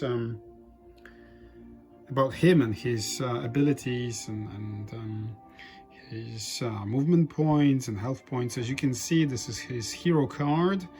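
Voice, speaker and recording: A man whose first language is Polish, hosting a board game playthrough in casual, halting commentary. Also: mid-range voice, mellow. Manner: halting, frequent restarts and fillers. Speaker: board game channel host.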